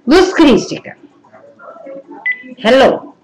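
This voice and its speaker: A woman's voice: high-pitched, very animated, drawn-out words, darkish tone, poor audio. A middle-aged woman with a Brazilian accent hosting a live web TV call-in show, bubbly and laughing often, recorded on a webcam in a small room. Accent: Brazilian Portuguese. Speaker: web TV host taking call-ins. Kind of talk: lively live call-in chat. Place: small indoor room, seated at desk.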